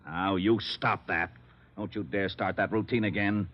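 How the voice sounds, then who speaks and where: A lowish-voiced, put-upon American police lieutenant, played by a man, griping and blustering through an old radio drama. His delivery is irritable and harried. The recording is dull and band-limited. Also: fairly even intonation, a little slow, close to an everyday voice. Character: exasperated, grouchy male police lieutenant. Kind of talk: 1940s radio comedy-drama acting. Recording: muffled old radio broadcast.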